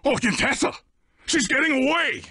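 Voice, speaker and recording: A man's voice: very high voice, animated, moderate pace, pushed and bright, dry recording, clean studio sound, full character voice. A man playing a big, dim but loyal cartoon hippo strongman in a video game, boastful and childlike, with bombastic delivery. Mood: alarmed shouting. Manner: bombastic, speaks of himself in third person.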